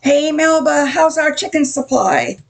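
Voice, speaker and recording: A woman's voice: high-pitched, animated, measured pace, vocal fry, exaggerated voice. An older woman acting a blunt, folksy, exasperated diner waitress in a comic radio play. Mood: fed up. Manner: folksy, weary, curt.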